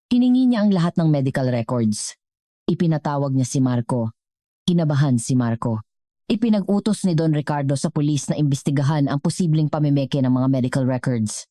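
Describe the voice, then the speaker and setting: Very low-voiced Filipino woman narrating. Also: dark tone, dry recording, studio quality. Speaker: storyteller narrator. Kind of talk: scripted dramatic story narration.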